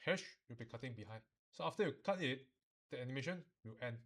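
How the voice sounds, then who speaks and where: Guy teaching software casually, instructional, keeps checking you get it, drops in lah. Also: medium pitch, expressive, quick pace. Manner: instructional, often checks understanding, uses particles like lah. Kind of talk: informal software tutorial mixing English and Mandarin.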